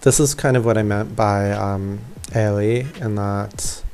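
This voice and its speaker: A man's voice: on the low side, steady tone, measured pace, a little mellow, a little hiss or hum. A young man giving casual, offhand, self-deprecating gameplay commentary. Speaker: gaming video creator.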